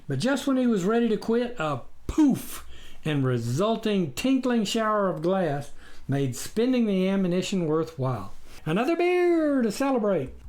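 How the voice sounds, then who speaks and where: A man reading his own written story as scripted audiobook narration, in an expressive storytelling manner. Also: high voice. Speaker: author reading his memoir.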